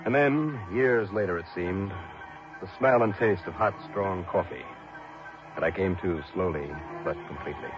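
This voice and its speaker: An actor playing a wry, world-weary insurance investigator in a mid-century radio drama, shifting between dialogue and first-person narration. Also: male voice, sits low, moderate pace, natural voice. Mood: recounting, weary. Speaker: lead actor. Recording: muffled old radio broadcast, faint music behind.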